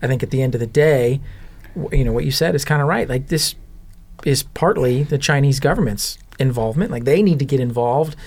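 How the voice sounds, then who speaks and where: A man on a podcast panel, chatting casually and reasoning aloud in a measured way. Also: mid-range voice, darkish tone. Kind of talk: casual conversational discussion. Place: podcast studio.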